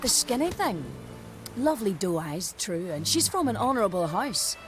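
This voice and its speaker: A woman's voice: mid-range voice, big pitch swings, moderate pace, over music, lightly acted. A woman voicing a gossipy village wife. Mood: teasing. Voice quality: teasing, chatty. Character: flirty, gossipy village wife, middle-aged. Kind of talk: video game dialogue.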